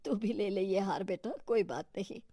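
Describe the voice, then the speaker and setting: A woman voicing a gentle, generous old father-in-law in a cartoon, mild and reassuring. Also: medium pitch, moderate pace, dry recording, clean studio sound, lightly acted.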